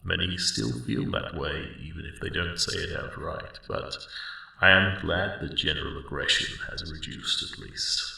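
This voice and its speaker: A man voicing a friendly, elderly male dragon in an ASMR roleplay, rambling slowly and wryly in a deep, gravelly rumble. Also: exaggerated voice. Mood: reflective. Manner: slow, rambling, reflective, gently wry. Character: friendly ancient male dragon, mellowed guardian of a spring. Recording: roomy cave-like echo, distant sound.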